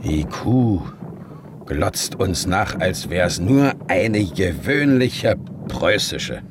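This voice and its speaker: An actor playing a gruff, blustering Prussian king and stern father, curt and grumbling, in a German radio drama. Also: animated, extremely slow, heavily acted. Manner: curt, grumbling, rhetorical questions. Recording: clearly noisy, rumbling vehicle ambience.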